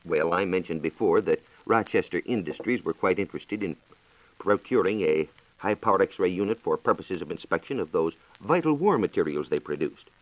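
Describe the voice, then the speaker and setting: Male American science reporter, vintage radio: lowish, animated intonation, formal explanatory delivery, dull old recording. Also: no room sound. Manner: formal, explanatory, measured diction. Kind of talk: scripted 1940s radio exposition.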